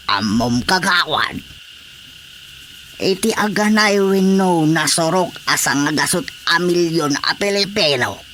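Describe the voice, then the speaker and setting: A man playing a stubborn, resigned elderly village father in an Ilocano Filipino radio drama, with a drawn-out, emphatic delivery. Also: fairly high pitch, lively intonation, sharp tone, slight background noise. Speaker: voice actor.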